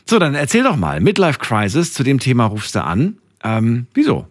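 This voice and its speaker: Male, late-night talk host, animated intonation, dry close studio sound. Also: mid-range voice, normal speed, no echo. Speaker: radio call-in show host. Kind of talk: late-night radio talk, addressing listeners and callers. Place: radio studio. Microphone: close studio microphone.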